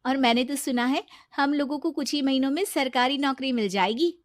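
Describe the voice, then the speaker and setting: High-voiced, strong-willed cartoon wife, acted by a woman. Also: fairly quick, no echo, studio quality, close to an everyday voice. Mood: indignant. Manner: indignant, firm. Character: self-reliant, strong-willed working wife and mother.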